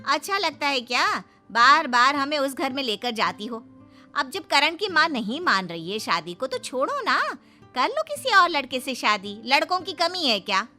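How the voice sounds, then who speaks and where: A woman's voice: sits high, very animated, normal speed, bright, forceful tone, soft background music, exaggerated voice. A woman voicing a practical, fretting middle-aged mother of a bride, in a scolding, matter-of-fact way.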